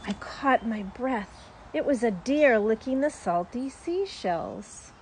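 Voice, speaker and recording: A woman's voice: on the high side, expressive, slow, darkish tone, a little hiss or hum, low-quality recording. A woman reading a children's story aloud expressively, drawing out words for young listeners.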